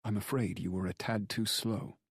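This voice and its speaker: A man's voice: fairly low pitch, normal speed, husky, no echo, studio quality, pitched above the performer's own voice. A man voicing a cold, formal butler with a polished old-world accent in an audiobook. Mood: coldly polite. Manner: formal, dead-calm, menacing. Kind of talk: audiobook character dialogue.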